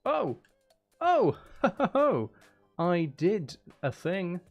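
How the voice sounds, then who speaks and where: A man's voice: high-pitched, wildly swooping pitch, slow pace, highs cut off, faint music behind. A man giving casual livestream commentary on a video game, talking to himself with mutters and exclamations. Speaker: game streamer.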